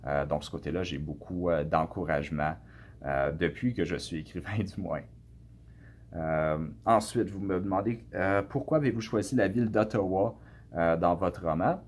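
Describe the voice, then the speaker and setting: Deep-voiced Canadian French man. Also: normal speed, darkish tone, husky. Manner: hesitant, many filler sounds and restarts. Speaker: author answering students' questions. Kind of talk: casual spoken answers to a class.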